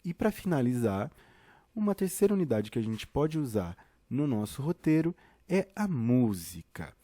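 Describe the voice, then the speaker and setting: Brazilian man with a mellow voice, teaching. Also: medium pitch, very animated, normal speed. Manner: conversational, addresses listeners directly with frequent check-ins. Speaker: instructor presenting a tutorial. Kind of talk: informal step-by-step tutorial.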